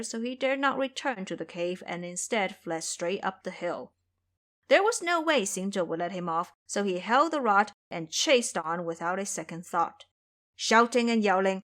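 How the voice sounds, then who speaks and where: A woman's voice: medium pitch, fairly bright tone. A woman narrator delivering dramatic scripted storytelling.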